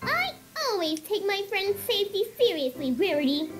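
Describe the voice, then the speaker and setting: A woman's voice: very high voice, sing-song, normal speed. A woman voicing a bubbly, childlike, earnest female cartoon pony in a fan fiction audio drama, perky and exclaiming. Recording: music behind the voice.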